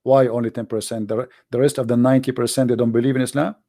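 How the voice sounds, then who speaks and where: A man's voice: mid-range voice, little pitch movement, quick pace, warm tone, dry recording, clean studio sound. A man with an Arabic accent, a native Arabic speaker, hosting an informal, impassioned monologue in a blunt, emphatic manner with repeated phrases and rhetorical questions. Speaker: host, religious polemicist.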